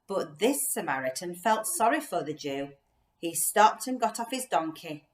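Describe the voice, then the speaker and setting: A woman's voice: lowish voice, animated, normal speed. Echoey woman reading to children. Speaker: children and families church worker, storyteller. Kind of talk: reading a children's Bible story aloud. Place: inside a house. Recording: echoey room.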